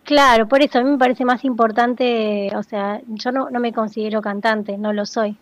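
A woman's voice: fairly high pitch, steady tone, normal speed, dry recording. A woman singer-songwriter, speaking in a casual interview and searching thoughtfully for her words. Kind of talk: casual interview over a phone line. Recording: dull, dry phone-line sound.

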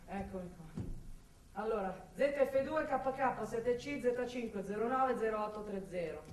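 A woman's voice: a little high, lightly acted. An actress playing a brusque, sardonic woman forensic police expert in a theatrical radio drama. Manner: exasperated, sardonic, theatrical, talking at unheard colleagues. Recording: roomy, distant microphone, faint noise.

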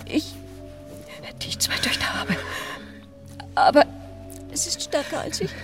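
An actress playing a torn, guilt-ridden married mother in a German radio play, breathy, halting and formal. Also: high voice, sing-song, whispered, faint music behind. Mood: anguished, hushed.

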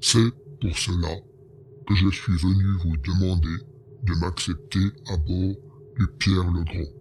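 A man's voice: low voice, fairly even intonation, measured pace, darkish tone, slight background noise. A man voicing an anxious male bear-like alien advisor who talks in a hesitant, pleading way. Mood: worried. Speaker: voice actor in an audio drama.

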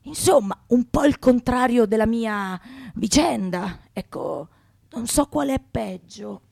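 A woman's voice: on the high side, animated, unhurried, vocal fry, darkish tone, no room sound, studio quality, exaggerated voice. Woman doing tired damned aesthete, blunt, grudging, bit philosophical, hesitates a lot, radio comedy. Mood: weary, grudging.

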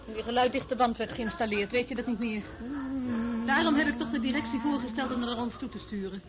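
A woman's voice: fairly high pitch, fast talker, natural voice. Actress as a bossy, fault-finding woman, tinny recording. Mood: irritated, scornful. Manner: curt, schoolmarmish, disapproving. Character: stern, fault-finding senior woman worker, secretive and bossy. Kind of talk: radio play acting. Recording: tinny narrow-band old radio play recording. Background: loud music.

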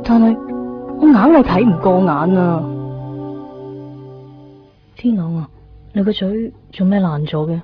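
A woman's voice: mid-range voice, lively intonation, measured pace, long pauses, lightly acted. A woman playing a jealous, prickly young woman in a Cantonese radio drama, with a Hong Kong accent. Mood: jealous, agitated. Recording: dull 1990s radio recording, music behind.

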